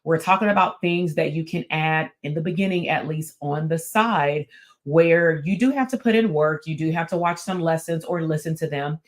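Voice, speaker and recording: A woman with a raspy, strong voice, talking casually to camera as a therapist and business coach, with frequent fillers and restarts. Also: low-pitched, moderate pace. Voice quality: raspy and strong, not soft. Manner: conversational, with many fillers and restarts. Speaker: therapist and business coach hosting her channel. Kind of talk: casual teaching talk to camera.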